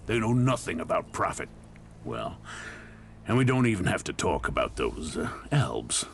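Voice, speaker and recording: A man's voice: sits low, big pitch swings, moderate pace, gravelly, slight background noise, full character voice. A man playing a ruthless gang boss who rules a wasteland town, menacing, boastful and blunt, in a video game. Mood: boastful, scornful.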